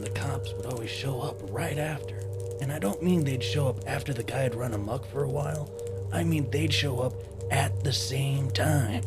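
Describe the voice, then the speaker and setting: An actor playing the friendly but cautious leader of a homeless band in an audio drama, folksy and conversational. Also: male voice, mid-range voice, natural voice.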